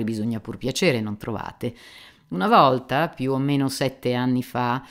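A man reading an audiobook, voicing a boastful aging buffoon who rambles loudly and theatrically about himself. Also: medium pitch, close to an everyday voice. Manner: loud, theatrical, rambling storytelling. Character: boastful aging male buffoon, self-mocking and garrulous.

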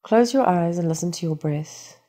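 A woman leading a guided meditation, speaking in a calm, soothing and unhurried instructional manner. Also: lowish voice.

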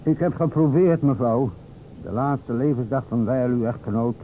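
An actor playing an earnest, insistent police inspector in an old radio play, formal and deliberate with hesitations. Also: male voice, mid-range voice, natural voice. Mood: apologetic, solemn. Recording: tinny, noisy old radio recording.

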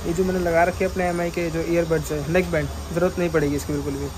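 A young man talks in a high-pitched voice. He chats informally, like a motovlogger addressing his buddies, with some traffic noise around him. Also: level tone, racing. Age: 20s. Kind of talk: casual chat to camera. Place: on a motorbike in city streets, later inside a small helmet shop. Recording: noisy street and traffic sound. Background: traffic and vehicle noise.

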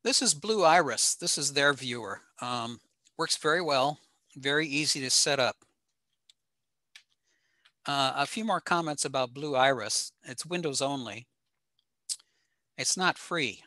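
A man's voice: medium pitch, bright, forceful tone, no room sound, clean studio sound. A man presenting technical material informally with slides over a video call, in a plain-spoken, matter-of-fact manner. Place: indoor home room, over a video call.

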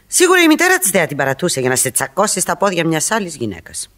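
A woman's voice: fairly low pitch, huge pitch swings, fairly bright tone. An actress playing an inquisitive, flirtatious married woman in a Greek radio play, coaxing and playful with theatrical delivery. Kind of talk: mid-century Greek radio theatre acting.

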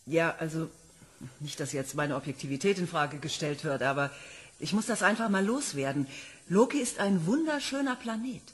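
A woman's voice: low voice, lively intonation, moderate pace, breathy edge. An actress playing an enthusiastic woman planetology professor reporting to a commission. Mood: earnest. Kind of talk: radio play acting.

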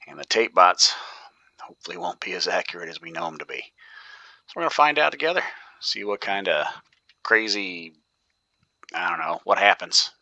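A man's voice: sits low, big pitch swings, normal speed, long pauses, sharp tone, no room sound, muffled recording. A man giving casual commentary while he plays a video game, thinking aloud and often dropping to a whisper. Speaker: gaming YouTuber.

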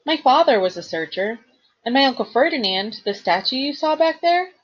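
An earnest young male satyr, put on by a woman reading aloud, speaks in a plaintive, emphatic way with a slightly husky edge. The audiobook recording sounds dull and lo-fi. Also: sits high, very animated, moderate pace, pitched above the performer's own voice.

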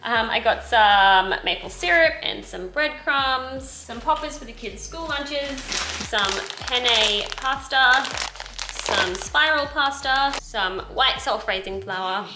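A woman's voice: fairly high pitch, slow pace. A young Australian woman chatting casually and rambling a little, filmed in her home kitchen by a camera on the counter. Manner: chatty, rambling with self-corrections. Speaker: vlogger. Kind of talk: casual grocery haul chat. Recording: echoey kitchen with background noise.

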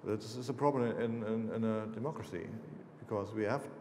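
A man's voice: lowish voice, moderate pace, a little mellow, slight background noise. A German-accented man in his fifties, a native German speaker, answering audience questions about his books, hesitant, with many fillers and restarts. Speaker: author. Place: lecture hall, seated on stage. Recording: roomy lecture hall echo.

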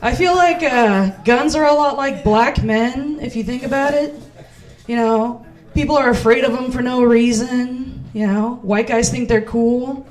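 A woman's voice: a little high, a little slow, a little mellow, low-quality recording. A woman in her thirties doing stand-up as host in a small comedy club, playful and self-mocking on a handheld mic.

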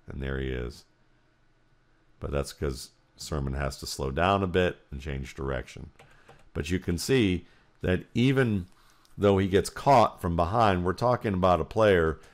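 A man with an American accent and a deep voice breaks down sports footage. He talks in an explanatory way, working out his analysis aloud as the play runs. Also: moderate pace. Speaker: football film analyst. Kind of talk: play-by-play film breakdown commentary.

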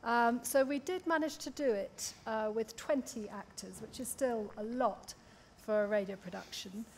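A woman's voice: on the high side, moderate pace, darkish tone. A woman speaking informally and chattily as a panellist, telling anecdotes. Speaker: panellist, radio drama director. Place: theatre stage, seated panel. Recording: live stage, clear background noise.

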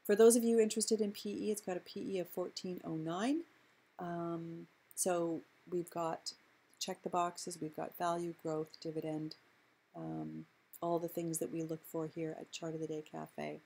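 A woman hosting a screen-recorded stock chart walkthrough, explaining in a measured way with frequent ums and uhs. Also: lowish voice, level tone, gravelly, dark tone. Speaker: stock chart analyst host. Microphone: voice-over on screen recording.

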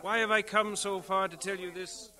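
An actor playing an earnest, halting young English missionary in an audio drama, lovestruck and fervent. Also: male voice, high-pitched, steady tone, sharp tone. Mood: preaching. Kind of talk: dramatised radio-play acting.

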